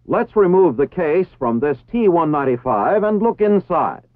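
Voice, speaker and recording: A man's voice: on the high side, big pitch swings, moderate pace, dry recording. Tinny vintage American male film narrator. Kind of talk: formal scripted instructional narration. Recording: tinny phone-like 1960s film soundtrack.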